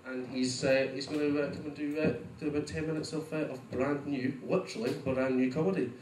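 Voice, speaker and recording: A man's voice: fairly even intonation, fairly quick, darkish tone, slight background noise. A man introducing the next act at a comedy club, hesitant with lots of fillers. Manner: hesitant with many fillers, then booming announcement. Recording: echoey room, voice from afar.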